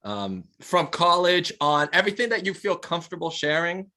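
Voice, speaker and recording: Male host, encouraging and affirming, with a bright, forceful tone. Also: on the high side, big pitch swings, normal speed. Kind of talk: interview hosting.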